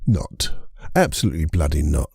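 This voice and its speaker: A man with a croaky voice hosting a casual solo podcast, chatty and rambling, correcting himself as he goes. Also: rumbling low, big pitch swings, moderate pace, a little mellow, no room sound, studio quality. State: croaky voice from a lingering cold. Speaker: podcast host and hypnotherapist.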